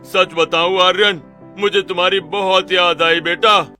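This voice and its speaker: A man's voice: high-pitched, steady tone, a little slow, bright, forceful tone, soft background music, heavily acted. A man playing a scheming middle-aged villain uncle in a cartoon, oily, theatrical and falsely affectionate. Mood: gushing, false affection. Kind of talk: Hindi cartoon villain acting.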